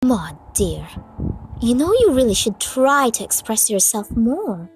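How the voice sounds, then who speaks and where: A woman's voice: fairly high pitch, big pitch swings, measured pace, soft background music. A woman voicing a refined young female cartoon pony fashionista, gushing and theatrical in a comic dub. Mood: coaxing. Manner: posh, gushing, melodramatic.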